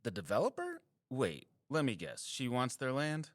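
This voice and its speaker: An actor playing an earnest, caring male wildlife surveyor in an audio drama, casual, sincere and expressive. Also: medium pitch, moderate pace, no room sound, studio quality. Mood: concerned, curious. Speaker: habitat surveyor.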